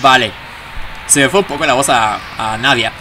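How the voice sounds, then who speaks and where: A man's voice: medium pitch, normal speed, music in the background. A man hosting a gaming video with casual let's play commentary, full of chatty, jokey asides.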